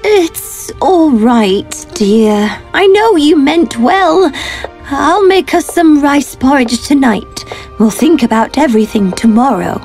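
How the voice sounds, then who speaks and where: A woman's voice: high-pitched, animated, slow, darkish tone, faint music behind, heavily acted, rough recording. A woman playing a loving, worried wife in a storybook tale, speaking tenderly and emotively. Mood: gentle, resigned. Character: loving, gentle, worried wife of a poor teacher. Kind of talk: animated storybook character acting.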